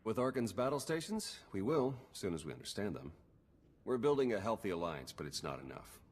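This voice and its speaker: An actor playing a wry, weary intelligence operative in a video game, with a casual, dry, understated delivery. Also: male voice, fairly low pitch, big pitch swings, fairly quick, breathy edge. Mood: businesslike. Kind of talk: scripted game character dialogue.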